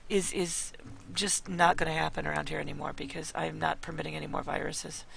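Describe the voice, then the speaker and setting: A young-adult streamer from Chicago who is losing their voice, so every word comes out heavily gravelly and croaky. They ramble through a casual late-night chat, full of ums and uhs, at a middling pitch. A faint hiss sits behind the voice. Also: level tone, a little fast, a bit bright. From Chicago, United States. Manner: rambling with many fillers, then low and sleepy. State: hoarse, losing voice. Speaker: live streamer.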